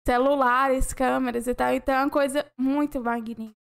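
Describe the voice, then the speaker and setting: A Brazilian woman with a Brazilian Portuguese accent reacts to a video in a slow, thoughtful way. Her voice sits high and has a gravelly edge, yet it stays smooth and resonant. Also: fairly even intonation. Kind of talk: casual reaction commentary.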